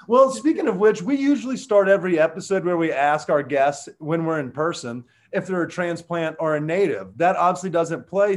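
A man hosts a casual podcast. His voice sits high for a man, and he talks with loud, excited bursts. He drops in slang as he goes. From US South. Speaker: podcast host. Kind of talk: casual podcast chat and sponsor read.

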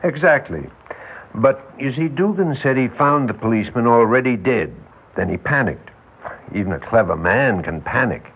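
An actor playing an older, world-weary defense lawyer, folksy and wry, with rambling, emphatic delivery in a 1960s radio drama. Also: male voice, medium pitch, lively intonation, moderate pace, breathy edge, narrow, tinny audio. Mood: explaining, wry. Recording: band-limited vintage 1960s radio recording.

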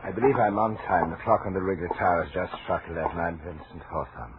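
Actor doing a creepily polite poetic gentleman, deep voice, hesitant and formal, muffled old radio play. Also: quick pace, noisy background, close to an everyday voice. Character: courtly, poetry-quoting, eerily polite male suitor. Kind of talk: 1940s radio drama acting.

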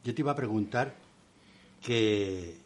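Playful Spanish man interviewing, lowish voice. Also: unhurried, a little hiss or hum. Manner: playful, joking asides between questions. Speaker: interviewer, writer. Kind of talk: conversational interview.

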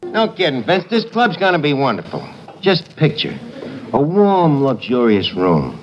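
An actor playing a brash, pretentious tavern manager, slangy and sardonic, mangling grammar in a 1950s radio comedy. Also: male voice, on the high side, very animated, a little slow. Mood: grand salesmanship. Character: brash, wisecracking tavern manager, pretentious malaprop-spouting man. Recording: muffled noisy old radio, studio audience.

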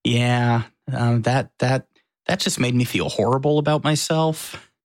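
A man's voice: medium pitch, measured pace, dry recording, clean studio sound. An American man co-hosting a podcast in casual, wry and self-deprecating conversation. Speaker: podcast co-host. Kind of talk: casual chat.

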